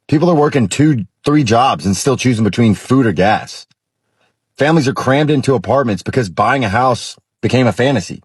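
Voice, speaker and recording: An American man delivering a husky spoken opinion monologue. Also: mid-range voice, no room sound, clean studio sound.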